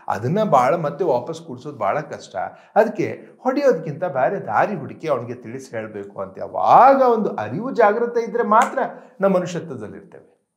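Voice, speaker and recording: A man's voice: on the high side, a little mellow. A man giving an emphatic, conversational motivational talk, mixing in English phrases and often checking in with listeners. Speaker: motivational trainer.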